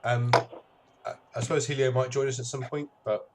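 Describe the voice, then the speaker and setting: A man's voice: mid-range voice, little pitch movement, fairly quick. A man hosting a stream, chatting casually and hesitantly with many ums and self-deprecating asides. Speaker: streamer host. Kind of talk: casual chat while setting up a stream. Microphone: webcam microphone. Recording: noisy webcam mic.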